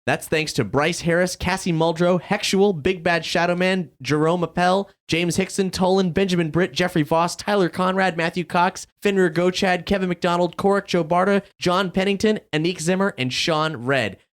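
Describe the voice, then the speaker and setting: A man's voice: high voice, fairly even intonation, moderate pace, hardly any pauses. A man hosting a podcast in a studio, introducing the show and its players to listeners. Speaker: podcast host.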